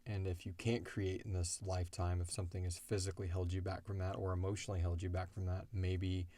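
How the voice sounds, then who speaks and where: A man's voice: deep voice, little pitch movement, quick pace, warm tone. A man talking in a casual, rambling, conversational way with lots of "like", as a show's creator answering listener questions. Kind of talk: casual Q&A discussion.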